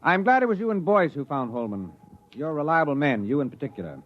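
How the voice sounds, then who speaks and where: Authoritative starship commander, muffled vintage radio. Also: male voice, fairly high pitch, big pitch swings. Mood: measured, grave. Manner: authoritative, deliberate military formality. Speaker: actor in a radio drama. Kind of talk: 1950s radio acting.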